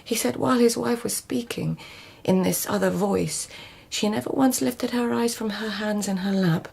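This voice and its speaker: Husky, haunted court lady. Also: medium pitch. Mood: hushed, eerie storytelling. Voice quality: husky, often breathy near-whisper. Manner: hushed, deliberate, haunted storytelling. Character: intense, devoted court lady of tenth-century Japan. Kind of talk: literary radio drama acting.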